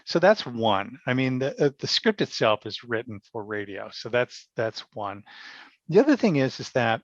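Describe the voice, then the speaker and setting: A man telling stories casually as an interview guest, animated and self-effacing. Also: medium pitch, normal speed. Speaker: guest, radio theatre director. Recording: dull, muffled lo-fi roomy sound.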